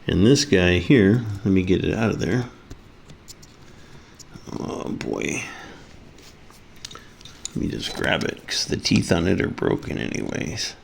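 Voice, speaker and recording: A man's voice: low-pitched, takes it slowly, vocal fry, long pauses. A man casually demonstrating a hands-on repair, muttering to himself as he works. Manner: muttering to himself while working. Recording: faint background noise.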